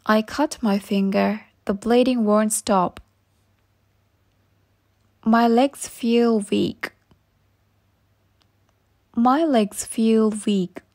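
Slow, careful higher-voiced woman. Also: fairly even intonation, a little mellow. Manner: slow, careful, each phrase said twice. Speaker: English teacher. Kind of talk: repeating practice phrases for learners.

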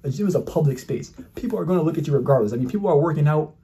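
A man's voice: a little high, animated, very fast, dark tone. A young man in his twenties giving brash, boastful, mocking commentary in casual talk, filmed in a small indoor room. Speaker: commentary YouTuber.

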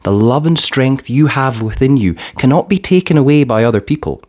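A narrator acts out a gentle storybook mum who encourages her little girl. She speaks tenderly and solemnly, with lively, reassuring rises and falls. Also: mid-range voice, fairly quick, close to an everyday voice. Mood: earnest, loving.

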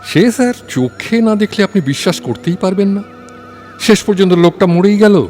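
A man acting an anxious, eager subordinate in an audio story, respectful and questioning, quick to get excited. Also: sits high, soft background music. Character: Nanda, deferential, anxious, eager male subordinate.

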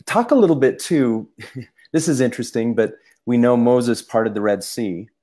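A man co-hosting a casual discussion, talking in halting bursts with many restarts and fillers. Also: mid-range voice, animated, warm tone. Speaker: podcast co-host.

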